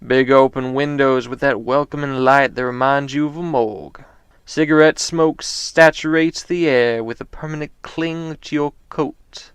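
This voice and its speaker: Man acting a smooth-voiced, world-weary noir street informant. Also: mid-range voice, a bit bright, no echo, natural voice. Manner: theatrical, knowing, wordy noir storytelling. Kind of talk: scripted noir audio drama monologue.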